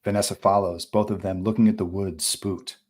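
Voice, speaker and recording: A man's voice: low-pitched, level tone, a little fast, a little mellow. A man narrating stage directions from a film script at a live table read.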